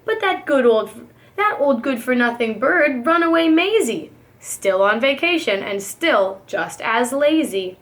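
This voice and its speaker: A woman reading a storybook aloud like a preschool teacher, expressive, with emphatic, rhythmic delivery. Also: high voice, unhurried.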